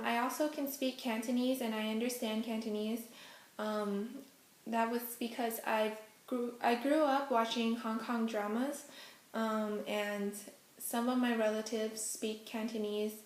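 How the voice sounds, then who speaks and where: Young woman vlogger, first language Hokkien, slightly high voice, casual and chatty in a roomy echo. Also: fairly even intonation, measured pace. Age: young adult. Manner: chatty, many ums, giggly asides. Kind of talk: casual Q&A vlog. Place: indoors at home, face out of frame.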